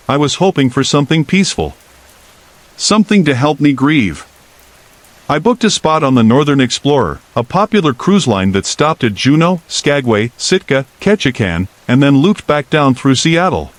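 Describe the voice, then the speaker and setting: A man narrating first-person horror stories in a calm scripted reading, with steady rain behind him. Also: medium pitch.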